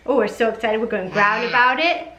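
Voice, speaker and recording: A woman's voice: a little high, fast talker, heavy background noise. A woman talking casually and chattily for a parenting vlog, rambling a little in a giggly, informal way. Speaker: parenting vlogger, mother. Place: upstairs bedroom at home. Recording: echoey room.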